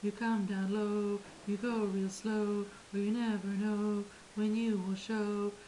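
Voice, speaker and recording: A woman voicing a happy plane that sings as it soars through the sky, in a drawn-out, sing-song way. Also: mid-range voice, little pitch movement, warm tone, a little hiss or hum, natural voice, low-quality recording. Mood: dreamy singing.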